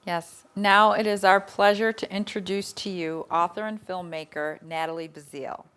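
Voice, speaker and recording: A woman's voice: medium pitch, fairly even intonation, normal speed, fairly bright tone, clear, resonant tone. A woman reading a formal prepared introduction at a live event. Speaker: event presenter.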